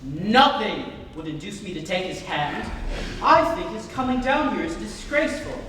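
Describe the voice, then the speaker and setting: An exasperated young gentleman of stage comedy, played by a young man in an amateur reading. His voice is high and swings with indignation. He is heard from a distance in a reverberant hall. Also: animated, normal speed. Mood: indignant. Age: young adult. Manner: indignant, huffy. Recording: echoey hall, distant mic, faint noise.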